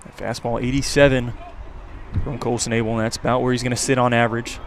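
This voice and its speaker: An American man announces a baseball game live on the radio. His voice sits at a middle pitch, delivering play-by-play commentary. Outdoor ambient noise is audible behind him. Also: flat delivery, quick pace. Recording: clear background noise from outdoor ballpark.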